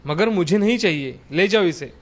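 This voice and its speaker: A man's voice: high-pitched, expressive, moderate pace. A man voicing a brusque, bargain-minded hotel owner in a story, curt and businesslike. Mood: dismissive.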